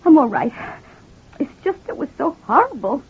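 An actress playing a distraught, halting wife in an old radio crime drama. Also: female voice, high voice, big pitch swings. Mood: shaken. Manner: hesitant, emotional, halting. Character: distraught wife claiming she shot her abusive husband. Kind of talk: 1940s-50s radio crime drama acting. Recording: muffled, thin old-time radio recording.

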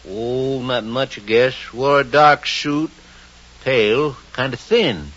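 An actor playing a folksy, rambling, sheepish small-town druggist in an old radio drama. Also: male voice, mid-range voice, slow, a bit bright. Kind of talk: 1940s-50s radio drama acting. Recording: muffled, hissy old radio recording.

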